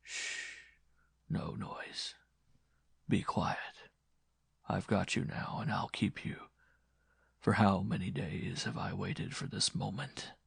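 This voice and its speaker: A man's voice: on the low side, animated, no room sound, studio quality, exaggerated voice. Man acting a whispering, vengeful disfigured lover. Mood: hushed menace. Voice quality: breathy, hushed, often whispering. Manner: slow, quiet, pleading turning cruel. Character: disfigured blind man, bitter, menacing, vengeful former lover.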